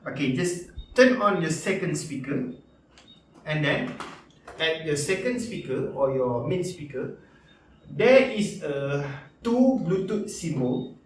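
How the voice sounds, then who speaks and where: Male vlog reviewer, Malaysian accent, somewhat high pitch, informal and repetitive, very roomy sound. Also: expressive. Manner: informal, repetitive, halting, punctuated with slangy interjections. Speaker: product reviewer and vlogger. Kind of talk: casual unscripted product review. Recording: echoey room, distant mic, background music.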